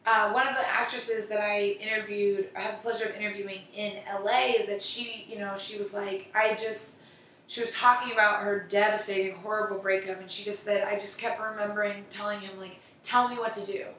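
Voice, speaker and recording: A woman's voice: mid-range voice, steady tone, fairly quick, fairly bright tone, slight background noise. A woman in casual chat, talking freely, laughing and swearing often. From United States. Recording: echoey room from far off.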